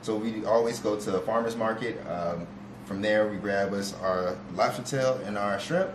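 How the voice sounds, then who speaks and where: A young man, a guest chef, calmly explaining a recipe step by step in a home kitchen, filling pauses with "you know". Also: fairly low pitch. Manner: steady, instructive, with fillers like "you know". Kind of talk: casual cooking demonstration. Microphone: far camera. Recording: echoey kitchen, noisy.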